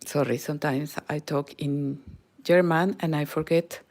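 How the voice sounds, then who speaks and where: A woman's voice: sits low, fairly even intonation, a little slow, a little mellow. A middle-aged Peruvian woman with a Spanish accent, a native Spanish speaker, giving a live crochet tutorial in careful non-native English that often drops to a near whisper. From Peru. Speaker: crochet channel host.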